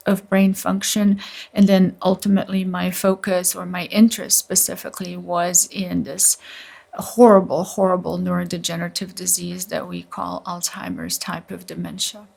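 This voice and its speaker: A woman's voice: mid-range voice, vocal fry. A middle-aged woman from Slovenia, a neurologist guest telling her story earnestly and at length in an interview.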